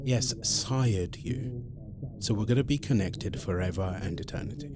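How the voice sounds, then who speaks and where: A man playing a centuries-old vampire boyfriend in an ASMR roleplay, exasperated but protective, speaking haltingly and pleadingly to the listener. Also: lowish voice, lightly acted. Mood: patient, explaining. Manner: hesitant, halting, pleading, addresses listener directly.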